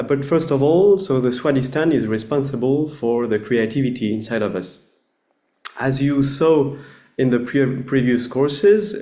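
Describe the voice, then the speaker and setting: A man presenting a meditation lesson over a video call, explaining in quick, friendly terms with some fillers. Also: medium pitch, darkish tone, reverberant.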